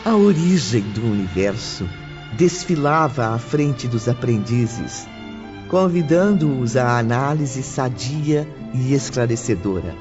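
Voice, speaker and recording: A male narrator reads an audiobook solemnly and slowly. His voice sits at a medium pitch. The recording is slightly muffled, with music clearly behind him. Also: expressive. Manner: solemn, deliberate.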